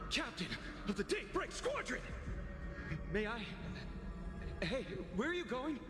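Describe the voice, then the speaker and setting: An actor playing an eerily blissful, deluded hero captain, grandiose and drawn-out, in a theatrical video game style. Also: male voice, very high-pitched, very animated, full character voice. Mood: serene, cheerful. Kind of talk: dramatic video game voice acting. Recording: game music over the voice. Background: loud music.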